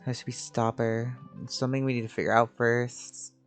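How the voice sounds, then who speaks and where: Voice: medium pitch, level tone, a little fast. A game streamer giving casual, musing, sweary commentary while reacting to a story quest. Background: game music.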